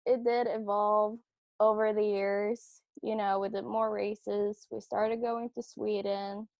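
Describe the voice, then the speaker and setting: A young woman from Norway, a drag racing driver, telling her story in a relaxed interview with fillers and restarts over a remote call. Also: mid-range voice, no room sound. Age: 20s. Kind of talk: casual interview chat. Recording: dull remote-call audio.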